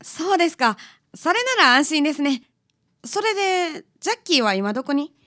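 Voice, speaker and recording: A woman's voice: high voice, sing-song, normal speed, sharp tone, exaggerated voice. A woman playing a polite, tender-hearted detective's client with a Kansai accent in a radio drama. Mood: gentle, wistful. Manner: polite, hesitant.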